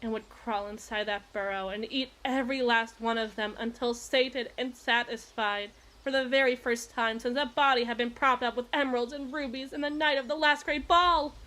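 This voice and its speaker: A woman playing an obsessive, eloquent evil queen in a dramatic ASMR roleplay monologue, with deliberate, theatrical phrasing and pauses. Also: fairly high pitch, steady tone, pushed and bright, rough recording. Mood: dark, brooding.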